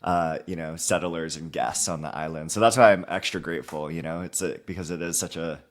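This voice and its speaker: A very deep-voiced man from Hawaii in his thirties talks easily as a podcast guest. His speech is upbeat and loose, strung together with "you know" and "like". He sounds relaxed and conversational. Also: brisk. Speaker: guest author. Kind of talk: casual interview conversation.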